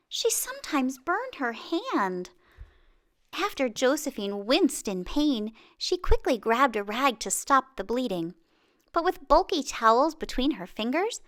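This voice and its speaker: Expressive, high-pitched woman reading a storybook. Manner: expressive, dramatic pauses and exclamations. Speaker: picture book read-aloud reader. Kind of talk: children's storybook narration.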